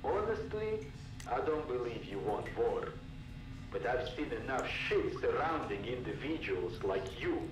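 A man's voice: medium pitch, big pitch swings, measured pace. An actor playing a disillusioned, distrustful male scientist who longs for peace, earnest and brooding, in a video game audio log. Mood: bitter, weary. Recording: roomy, distant, noisy cassette tape recording.

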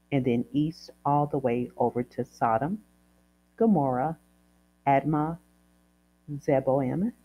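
An American woman host reading scripture aloud informally, in a chatty, folksy and deliberate way with casual asides. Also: low voice, muffled recording.

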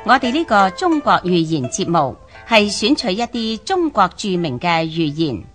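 Woman, Hong Kong Cantonese accent, radio announcing, formal, expressive intonation, faint background music. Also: lowish voice, moderate pace. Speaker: program presenter. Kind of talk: scripted radio introduction.

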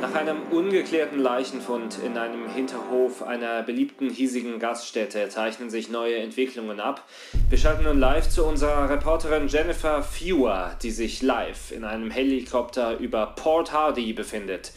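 A man's voice: mid-range voice, normal speed, reverberant, faint music behind, natural voice. An actor playing a male television news anchor with formal newsreader delivery.

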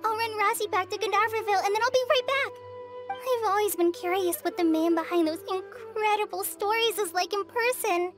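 An actress playing a shy, stammering young trainee forest ranger in a fantasy video game. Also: female voice, extremely high pitch, a little fast. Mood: polite. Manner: timid, stammering, gushing fan. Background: game background music.

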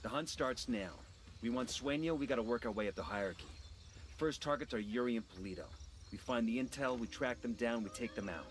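An actor with an American accent playing a resolute special forces squad leader in a video game, clipped and businesslike. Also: male voice, mid-range voice, fairly quick, slight background noise, close to an everyday voice. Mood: focused, businesslike.

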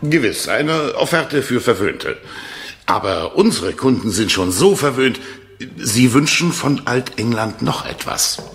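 An actor playing an eager, polite American travel businessman with a halting, hedging manner in a 1970s German radio play. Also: mid-range voice, lively intonation, creaky, fairly bright tone. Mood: coaxing.

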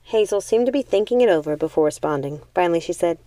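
Female storybook reader, mellow timbre, very dry recording. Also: on the low side, lively intonation, fast, no echo. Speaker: audiobook reader. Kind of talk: scripted storybook narration.

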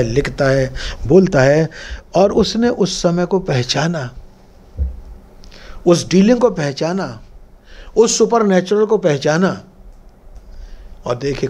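A middle-aged male pastor preaching with a high, ringing voice. He is dramatic and expressive, acting out the figures of his story. He speaks close into a stand mic in a church studio. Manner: dramatic, gesturing broadly, voicing biblical figures. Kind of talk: sermon. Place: church studio with curtain backdrop, indoors. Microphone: stand mic close in front.

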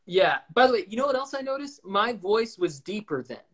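A young man chatting in a relaxed, easygoing way on a livestream video call, speaking into a phone held at arm's length. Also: sits high, a little fast. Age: young adult. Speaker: livestream co-host. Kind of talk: casual chat on a video call. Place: room with shelves indoors.

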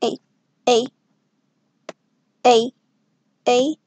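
Woman, slow-paced casual self-talk, rambling asides, voice on the higher side. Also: little pitch movement, a little mellow, slightly rough. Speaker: map-making video creator. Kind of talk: casual commentary while drawing.

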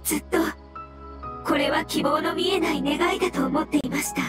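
A sorrowful little floating creature from a video game, played by a woman. The voice is high and female, with formal, humble, halting phrasing. Music plays clearly underneath. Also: fairly even intonation, close to an everyday voice. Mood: sorrowful, moved. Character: sorrowful, courteous floating jellyfish-like fungus creature.

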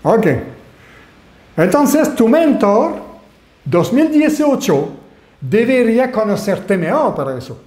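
French-Canadian male webinar host, animated, asks the room questions, roomy echo on a webcam recording. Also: extremely high pitch, takes it slowly, long pauses. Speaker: online marketing mentor. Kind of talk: webinar presentation.

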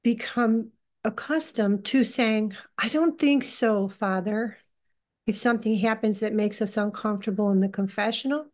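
A woman in her sixties giving informal religious teaching on a group call, speaking in an earnest, deliberate, halting way. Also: medium pitch, darkish tone. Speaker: leader of a lay religious group. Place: living room, indoors. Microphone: computer on a video call.

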